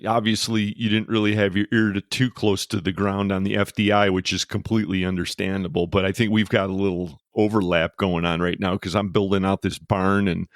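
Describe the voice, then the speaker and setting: A man's voice: fairly low pitch, dry recording, clean studio sound. A man hosting and producing a podcast, asking questions in an easygoing, joking way. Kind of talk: casual interview.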